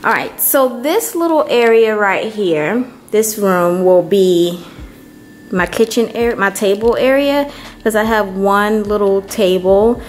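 A woman vlogger giving a casual, unhurried walkthrough of an empty apartment, filmed on a handheld camera. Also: mid-range voice, expressive. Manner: unhurried, chatty, many ums. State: hot and worn out from moving.

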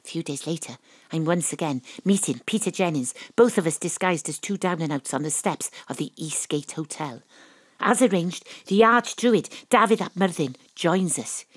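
Audio drama lead: proud female sleuth narrating, dramatic, slightly husky, close dry sound. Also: lowish voice, lively intonation, moderate pace, no room sound. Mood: conspiratorial.

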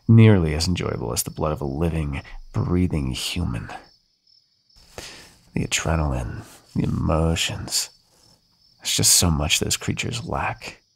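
Cruel toying vampire as a man voices him, gravelly, lively swooping pitch, taunting, ASMR audio roleplay. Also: full character voice. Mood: hungry whisper. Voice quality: low gravelly voice, husky. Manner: taunting, mocking, calls listener dearie. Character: centuries-old male vampire, cruel and toying.

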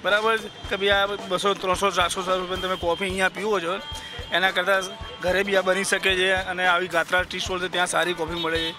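A man with a high voice talks quickly and explains his view at length. He is a father speaking to a street interviewer. Music plays under the recording. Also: flat delivery.

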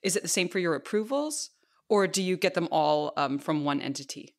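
A woman's voice: fairly low pitch, lively intonation, a little fast. A woman co-hosting a podcast, asking questions in casual conversation into a close microphone. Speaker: podcast co-host. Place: kitchen at home. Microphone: large podcast microphone, headphones.

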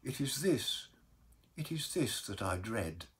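A man voicing a meek, sorrowful baker in a storybook verse reading, telling his woe in a halting, mournful, mild way. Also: mid-range voice, very animated, a bit bright, slightly rough, heavily acted. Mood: anguished.

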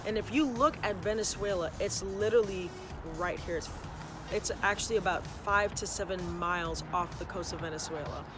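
A woman's voice: on the low side, normal speed. A young woman from Trinidad and Tobago, raised in the United States, talking casually to camera outdoors about her faith, gesturing as she speaks. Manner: animated, talks with her hands, says y'all. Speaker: vlogger. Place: outdoors on an open concrete rooftop. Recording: music playing clearly behind.

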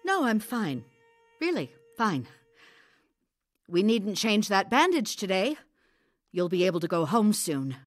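A woman's voice: mid-range voice, very animated, measured pace, pauses often, no room sound, clean studio sound, soft background music. An actress playing a guarded, proud village healer in a radio drama, curt and evasive in her stern manner. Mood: defensive, agitated.

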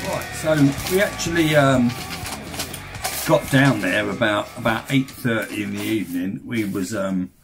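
A man's voice: fairly low pitch, measured pace, vocal fry, over music. An English man in his sixties narrating a fishing vlog in a chatty, rambling, colloquial way. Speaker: fishing vlogger.

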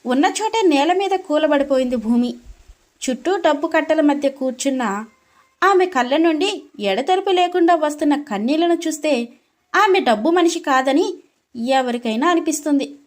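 A woman's voice: sits high, lively intonation, moderate pace. A woman narrating a scripted dramatic story as its storyteller.

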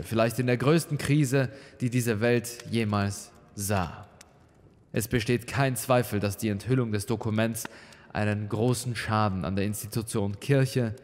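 A man's voice: medium pitch, big-room sound, lightly acted. A man voicing a defiant, principled older cardinal in an audio story, speaking in a firm, solemn, oratorical way. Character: defiant, principled cardinal of advanced middle age. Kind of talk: dramatic audio story dialogue.

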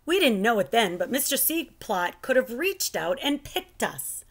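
A middle-aged woman reading a children's book aloud in an expressive, animated storytelling style at home. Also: a little high, unhurried. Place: living room at home.

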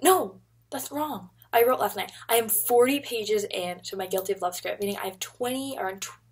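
A woman's voice: medium pitch, normal speed, slightly rough. A young woman in her twenties chatting to a webcam in her bedroom, rambling and gesturing as she talks. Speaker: vlogger. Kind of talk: casual chat to camera. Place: bedroom, indoors. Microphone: webcam at desk.

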